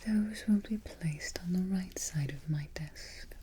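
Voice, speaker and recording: A haughty evil queen, acted by a woman murmurs in a soft whisper, low and mellow. She is prim and exacting, giving orders slowly in an ASMR roleplay, close on the mic with faint noise behind her. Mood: calm, fond. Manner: unhurried, exacting, politely imperious. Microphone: close ASMR microphone.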